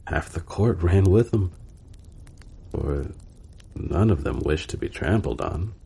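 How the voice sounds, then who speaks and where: A man narrating a bedtime storybook in a calm, soothing and unhurried manner. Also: low voice, creaky, breathy edge.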